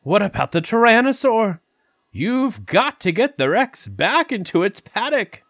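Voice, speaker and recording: An irritable old grandfather who owns a park, played by a man, speaks in a high, slow, imperious voice. The recording is close and dry, as in an audio drama. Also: sing-song, fairly bright tone, no echo, full character voice. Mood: upset, demanding.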